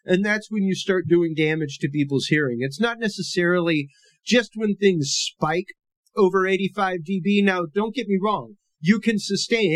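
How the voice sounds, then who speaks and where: Male podcast host, big full voice a touch on the high side, dry close sound, easygoing explainer. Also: no echo. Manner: conversational, loose and explanatory. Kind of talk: casual educational podcast monologue.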